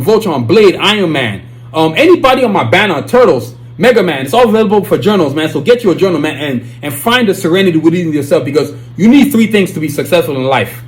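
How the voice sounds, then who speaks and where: A man with a Nigerian accent hosting his own podcast, talking casually and reading sponsor plugs, with many repeated catchphrases. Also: sits high. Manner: animated, repetitive, keeps saying "you know what I'm saying". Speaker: podcast host.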